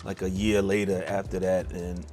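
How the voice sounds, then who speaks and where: A middle-aged man speaks in a low, easygoing voice, full of "you know" and restarts. He tells his story in a relaxed, conversational way. Soft music plays faintly beneath him. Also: moderate pace. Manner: laid-back, many fillers and restarts. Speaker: songwriter guest. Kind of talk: casual interview talk.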